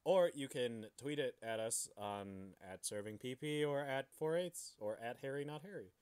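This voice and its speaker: A man chats casually as a podcast co-host, with very lively swings in pitch. He banters in an excitable way and swears freely. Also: moderate pace, clean tone. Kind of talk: casual chat.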